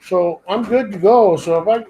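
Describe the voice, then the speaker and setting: A man's voice: sits high, moderate pace, mellow, dull audio, slight background noise. A man with a slight Brooklyn-ish accent chatting casually, blunt and grumbling, swearing freely. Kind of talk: casual late-night chat.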